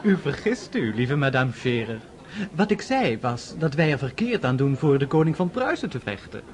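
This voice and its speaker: A man's voice: mid-range voice, sing-song, normal speed, natural voice. A male actor playing a young aristocratic son in a radio drama, mocking, sly and sarcastically witty in formal speech.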